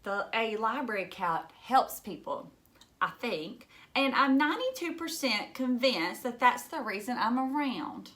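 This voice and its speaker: A woman's voice: fairly high pitch, expressive, a little slow, fairly bright tone. A woman voicing a friendly, earnest male library cat in a children's storybook, with wide-eyed exclamations. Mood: earnest, determined.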